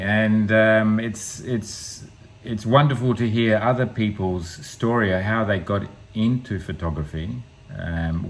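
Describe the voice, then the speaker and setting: A man hosting an interview, asking questions in a relaxed, encouraging way. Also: fairly low pitch. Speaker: interviewer, channel host. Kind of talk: video call interview.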